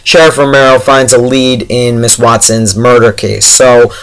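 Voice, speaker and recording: A man with a hoarse, tired voice giving a casual, chatty, somewhat halting TV episode review straight to his viewers. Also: medium pitch, level tone, fairly bright tone, low-quality recording. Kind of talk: casual spoken review to camera.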